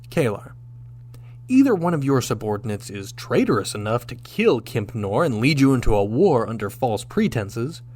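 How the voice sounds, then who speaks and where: A man playing a confident, shrewd starship captain in a sci-fi radio drama, speaking with deliberate, commanding rhetorical pauses. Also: medium pitch, darkish tone, a little hiss or hum, natural voice.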